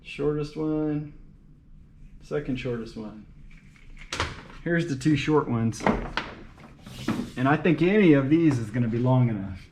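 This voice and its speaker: A man's voice: medium pitch, unhurried, long pauses, a little mellow. Man muttering through work, noisy background. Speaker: home mechanic video host. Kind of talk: casual think-aloud commentary while working on a car. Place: working at a car, single uncut recording.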